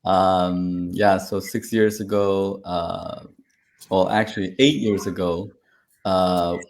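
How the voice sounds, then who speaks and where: A man's voice: deep voice, steady tone, slow, darkish tone. A man from Taiwan, an interview guest, telling his story in a rambling way with many fillers.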